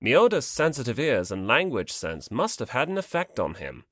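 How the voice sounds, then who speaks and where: Audiobook narrator reading a story, really animated up n down, dry close sound. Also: mid-range voice, normal speed, no room sound.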